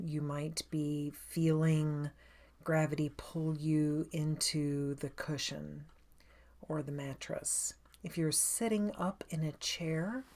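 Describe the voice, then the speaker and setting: A woman leading a guided meditation as a coach, with a soothing, unhurried and inviting manner of gentle instruction. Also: deep voice.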